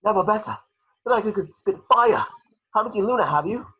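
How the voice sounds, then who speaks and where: A man acts out an excited schoolboy wizard in a fantasy battle. He sounds forceful, rough-edged and breathless, pitched higher than a grown narrator, and earnest through it all, on a slightly dull recording. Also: a little fast, exaggerated voice.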